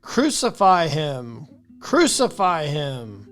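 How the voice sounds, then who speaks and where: A woman's voice: deep voice, animated, takes it slowly, soft background music, voice pushed down. A woman voicing an angry crowd of accusing men in a scripture reading, insistent and clamoring.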